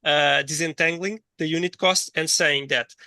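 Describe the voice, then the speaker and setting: Bright, dry-voiced man lecturing, thinking aloud. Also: fairly high pitch, steady tone, moderate pace, no room sound. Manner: hesitant, thinking aloud with repeated words. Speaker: course lecturer. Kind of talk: interactive online teaching, reading chat replies.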